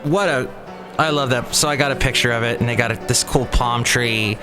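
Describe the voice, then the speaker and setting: A man's voice: mid-range voice, a little fast, over music. A middle-aged man hosting his own podcast, rambling and chatty, often breaking off mid-sentence. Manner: rambling, chatty, self-interrupting. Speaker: podcast host. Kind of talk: casual solo podcast chat.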